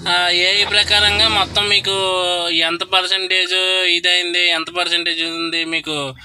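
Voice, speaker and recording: A man with a very sharp, bright voice works through a mock sales pitch on a call. He sits high in pitch, halts often and stays polite but insistent, over faint noise and clicks. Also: flat delivery, measured pace. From Godavari districts, Andhra Pradesh, India. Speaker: prank caller posing as hospital telemarketer.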